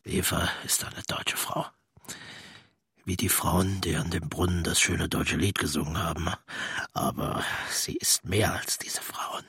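An actor playing a menacing, fanatical old Nazi commander in a radio play, muttering tensely with a gravelly, husky voice that often breaks into hoarse whisper. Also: male voice, low voice, fairly even intonation, measured pace, fairly bright tone, no echo, clean studio sound, full character voice. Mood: fanatical, insistent. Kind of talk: German radio play acting.

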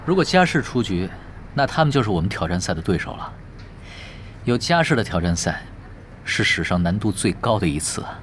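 A man playing a seasoned, easygoing esports captain in an audio drama, dry and unhurried as he explains things. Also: lowish voice, very animated, fairly bright tone, a little hiss or hum. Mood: sober, dry. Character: laid-back, wry, seasoned male esports team leader.

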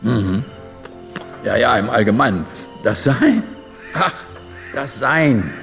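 Gravelly old adventurer, reflective. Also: male voice, medium pitch, very animated, a bit bright, in a large hall, soft background music, heavily acted. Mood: philosophical. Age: older. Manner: musing, dramatic storytelling with pauses and interjections. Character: reflective old adventurer and butterfly collector, once bold. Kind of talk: radio drama monologue, storytelling.

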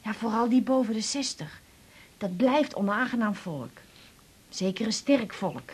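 A woman's voice: on the high side, lively intonation, measured pace, slightly rough. An actress playing a hurt, chatty middle-aged mother confiding in a colloquial, rambling way, in a naturalistic radio drama. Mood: indignant, animated. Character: hurt, chatty mother back from a failed holiday.

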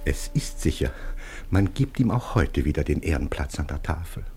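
An actor playing a gluttonous, self-pitying country priest, lamenting in grand, declamatory radio play style. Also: male voice, very deep voice, animated, moderate pace, a little mellow, husky, faint music behind, exaggerated voice. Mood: indignant lament. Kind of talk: classic radio play acting.

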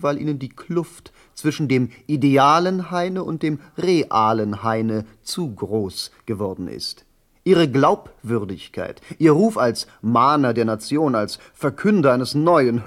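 A man's voice: mid-range voice, natural voice. An actor playing a haughty, accusing male duel challenger in a radio drama, formal, pompous and cutting. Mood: condescending.

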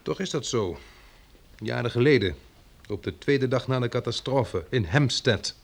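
A man's voice: mid-range voice, animated, unhurried, vocal fry. An actor playing a wary, steadfast man leading a small settlement, terse and firm. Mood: guarded, accusing. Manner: terse, questioning, guarded. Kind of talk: radio drama acting.

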